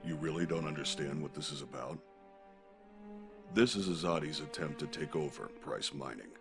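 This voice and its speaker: A man playing a calm, calculating senator in a comic dub, measured and deliberate. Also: very deep voice, vocal fry, over music. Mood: grave. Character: calm, calculating senator, older political dealmaker. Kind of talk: acted comic dub dialogue.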